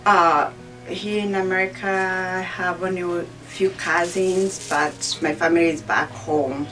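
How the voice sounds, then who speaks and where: A woman with a Kenyan accent gives a testimonial at a slow pace. She sounds distant from the microphone, with soft music behind. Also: lowish voice, steady tone. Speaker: church member giving testimonial. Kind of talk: personal testimonial. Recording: distant mic, faint music.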